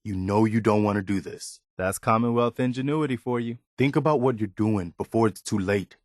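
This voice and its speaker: A male actor voices a principled young soldier man, a loyal video game companion. His voice sits fairly low and sounds dry and close. He talks in a sincere, plainspoken, slightly weary way, like everyday conversation. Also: fairly quick, darkish tone, dry recording, lightly acted. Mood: firm, pleading.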